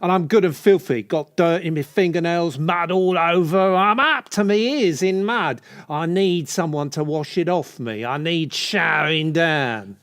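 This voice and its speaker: An actor playing a cheeky, flirtatious working-class English builder, brash and slangy, in a comic audio drama. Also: male voice, a little high, measured pace, few pauses, fairly bright tone, smooth. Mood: brash, suggestive.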